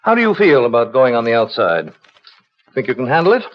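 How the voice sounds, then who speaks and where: A man playing a brisk, businesslike parole officer in a clipped, official manner, in the style of 1950s radio crime drama. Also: mid-range voice, sing-song, lightly acted. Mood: firm, businesslike. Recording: dull old radio broadcast recording.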